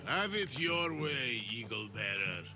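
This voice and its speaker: A man's voice: medium pitch, big pitch swings, slow, music in the background. A man acting a smug, menacing smuggling boss in a video game, with mocking, theatrical delivery. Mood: cool menace.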